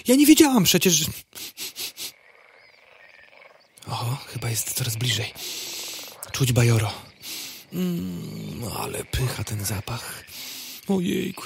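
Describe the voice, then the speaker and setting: A man's voice: medium pitch, big pitch swings, measured pace, gravelly, a little hiss or hum. A man playing a whiny, impulsive young female fly in a radio play, chatty and stammering. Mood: relieved, eager.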